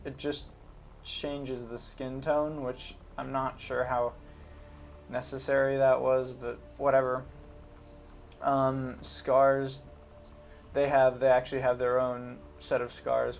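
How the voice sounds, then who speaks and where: A man's voice: mid-range voice, steady tone, slow pace, creaky, slight background noise. A man giving casual, unscripted commentary on a video game, talking informally and hesitantly as he thinks aloud. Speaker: gaming video host.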